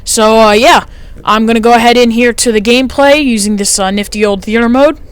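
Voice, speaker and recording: A teenage boy with a light, high-sounding voice gives relaxed, rambling commentary over a match replay. He wanders between points and leans on fillers. Faint noise sits under the recording. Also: brisk. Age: teens. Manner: chatty, rambling, frequent fillers. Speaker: gameplay commentator. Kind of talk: casual gameplay commentary.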